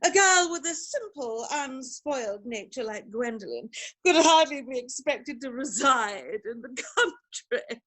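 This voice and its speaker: A woman playing an imperious, snobbish older aristocratic mother in a theatrical comedy reading, speaking with pompous, deliberate emphasis. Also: high-pitched, sing-song, fairly bright tone, heavily acted, rough recording. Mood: disapproving. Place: virtual performance from home in isolation.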